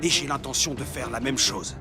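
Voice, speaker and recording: A man's voice: medium pitch, moderate pace, over music, natural voice. An actor playing a greedy, secretive man in a children's Bible cartoon, possessive and scheming. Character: greedy, secretive adult son of Noah.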